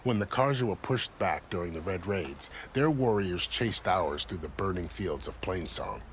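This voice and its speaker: An actor playing a grave, courteous gate commander in a video game, speaking in a measured, formal manner. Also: male voice, sits low, lively intonation, a little hiss or hum. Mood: measured, informative. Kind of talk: game cutscene dialogue.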